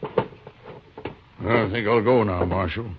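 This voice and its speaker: An American man playing a grieving, luckless homesteader in a western radio drama, speaking plainly and gravely in halting rustic phrases. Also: lowish voice, fairly even intonation, slight background noise. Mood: bitter, resigned. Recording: muffled, narrow-band 1950s radio recording.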